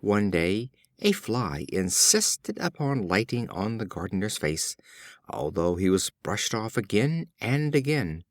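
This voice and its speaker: A man narrating short fables as an audiobook reader, in a measured, expressive storytelling style. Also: lowish voice, no echo, clean studio sound.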